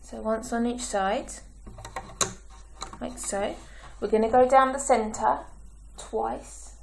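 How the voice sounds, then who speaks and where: A woman with a croaky throat, giving slow, patient step-by-step craft instructions as a tutorial host. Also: a little high, steady tone, a little hiss or hum. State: croaky, clearing her throat.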